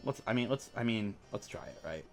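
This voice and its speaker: A man's voice: fairly low pitch, lively intonation, brisk, faint music behind. A man giving rambling, casual commentary over a video game he is playing, full of asides and filler words. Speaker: let's play host.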